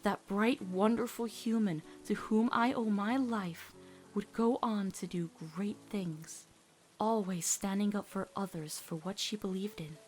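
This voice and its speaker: A woman voicing a gentle, steadfast teenage witch girl, speaking in a measured, reassuring way in a dramatic comic dub. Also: mid-range voice, faint music behind, lightly acted. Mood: tender, admiring.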